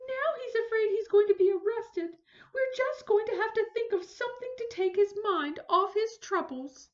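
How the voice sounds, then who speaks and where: A woman voicing a kind, fretting motherly woman in a storybook reading, gentle and soothing. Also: high-pitched, wildly swooping pitch, a little slow, voice pushed up, full character voice. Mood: fond. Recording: roomy, slightly dull recording.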